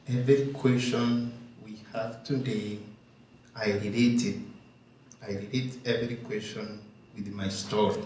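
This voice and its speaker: Slow-spoken Kenyan man in echoey hall. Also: on the low side, a little hiss or hum. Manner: slow, thoughtful, halting. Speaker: panelist, farmer. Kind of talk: panel discussion remarks. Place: large wooden barn hall, indoors. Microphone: handheld mic, far camera. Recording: echoey barn from far off.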